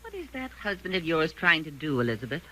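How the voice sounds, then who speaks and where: An actress playing a fiercely protective, defiant household servant in a 1940s radio thriller, blunt and indignant. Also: female voice, low-pitched, very animated, fast, heavy background noise.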